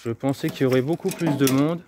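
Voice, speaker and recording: A man's voice: medium pitch, fast. Chatty French man vlogging while walking. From France. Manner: chatty, rambling, self-promoting, laughs at himself. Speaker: YouTuber and personal development coach. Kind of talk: casual walking vlog. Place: outdoors, walking in the street. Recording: faint street noise and jangling keys.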